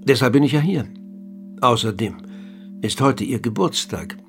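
An actor playing a courteous, inquisitive writer who narrates a radio play and talks with the dead in a measured, polite way. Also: male voice, mid-range voice, lively intonation, over music, natural voice. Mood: courteous, earnest.

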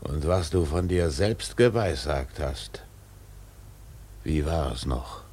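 A man playing a brooding, obsessed old whaling captain in a radio drama, with gravelly, weighty speech. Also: rumbling low, animated, takes it slowly, darkish tone, exaggerated voice. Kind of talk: German radio play acting.

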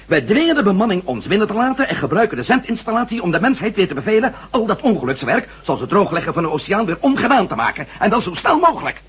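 A man's voice: sits high, very animated, quick pace, hardly any pauses, slightly rough, heavily acted. An actor playing a determined, hot-tempered former astronaut in a science fiction radio drama, speaking emphatically and arguing his case. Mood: determined, urgent. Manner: emphatic, swearing, argumentative. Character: determined, hot-tempered male ex-astronaut adventurer laying out plans. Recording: dull lo-fi radio drama recording.

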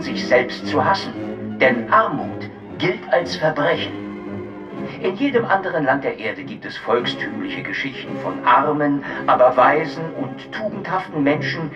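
An actor playing a propagandist who lectures in a declamatory way, filtered like an old broadcast in a radio drama. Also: male voice, fairly high pitch. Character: American-born propagandist lecturing in a preachy tone. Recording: dull, distant broadcast sound with music.

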